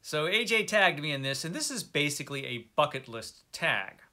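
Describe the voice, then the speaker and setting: Bright-voiced man chatting casually, wryly self-deprecating. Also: on the high side, very animated, moderate pace. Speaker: host of a book review video channel. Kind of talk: casual chat to camera about books.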